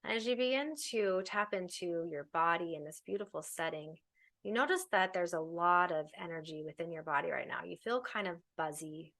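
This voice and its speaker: A woman leading a guided meditation as a teacher, giving soothing, unhurried instructions with pauses. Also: lowish voice, fairly bright tone.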